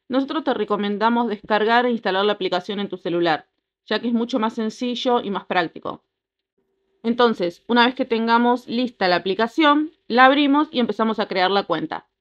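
A woman, reading a scripted app tutorial in an even, instructive way. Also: on the high side, a little fast, lo-fi sound. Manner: even, instructive step-by-step delivery. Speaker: tutorial narrator. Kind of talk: scripted voice-over narration.

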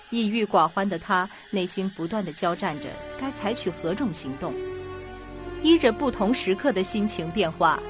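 A woman narrating a scripted suspense radio drama. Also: medium pitch. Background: music behind the voice.

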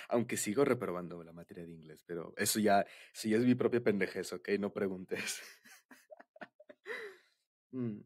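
A man's voice: on the low side, measured pace, long pauses, a little mellow. A young man with a Mexican accent, chatting casually and intimately as a boyfriend in an ASMR roleplay, rambling in giggly, slangy speech. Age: young adult.

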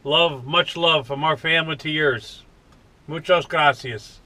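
Slow-talking American man, bright voice. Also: on the high side. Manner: folksy, unhurried. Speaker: vlogger. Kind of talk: casual unboxing vlog. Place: inside a parked truck cab.

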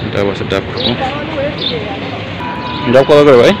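A man's voice: medium pitch, animated, moderate pace. A man with a Bangladeshi accent chatting casually as a vlogger, recorded outdoors on a handheld camera. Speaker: travel vlogger, customer. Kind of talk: casual chat and haggling. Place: outdoors at roadside stalls by a beach. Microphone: handheld camera, speaker behind it. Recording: loud outdoor street and traffic noise.

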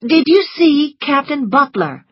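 Woman playing a high-voiced, headstrong young heroine. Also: sing-song, a little slow, no room sound, muffled recording. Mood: anxious. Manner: urgent, bossy, emotional. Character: headstrong, anxious young woman, commanding and fiery.

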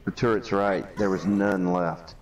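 A man's voice: low-pitched, fairly even intonation, a little slow, recorded from a distance, rough recording. A man chatting casually with teammates in an online game, swearing and calling out positions. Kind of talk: casual, profane team game chat.

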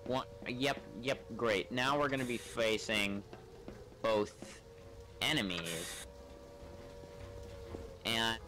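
A man's voice: on the low side, fairly even intonation, very slow, long pauses, over music, rough recording. A man giving casual, sardonic gameplay commentary, muttering and swearing as he plays. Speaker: gamer playing a role-play game. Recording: clear background noise, game ambience.

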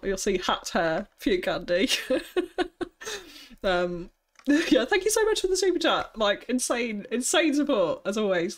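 Giggly high-pitched woman streaming. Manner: giggly, bubbly, thanks viewers often. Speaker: streamer building a city. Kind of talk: casual live-stream chat.

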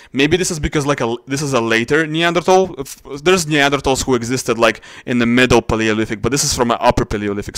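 A man's voice: mid-range voice, fairly bright tone, clear, resonant tone, dry recording. A man narrating a casual screen-recorded explainer, thinking aloud with frequent fillers. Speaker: genetics video host.

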